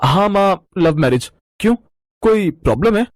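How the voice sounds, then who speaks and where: Animated, high-pitched young son played by a man. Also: moderate pace, dry recording. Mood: eager, frank. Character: young adult son, frank and eager bridegroom. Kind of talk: moral story cartoon dialogue.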